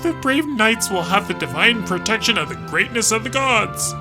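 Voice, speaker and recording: A woman's voice: mid-range voice, lively intonation, moderate pace, over music, natural voice. A woman voicing a dutiful, formal castle knight in a video game fan dub. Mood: solemn.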